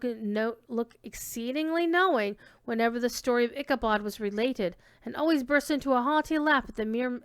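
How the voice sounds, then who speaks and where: A woman reading old-fashioned literary prose aloud as a dramatic storyteller, with expressive, measured delivery. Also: a little high, smooth, no room sound, clean studio sound. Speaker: reader giving a dramatic reading.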